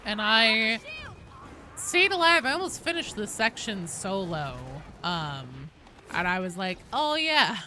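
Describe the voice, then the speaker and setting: A woman's voice: medium pitch, slow, pushed and bright, slight background noise. A woman game streamer chatting casually while playing, joking and swearing in loose slang.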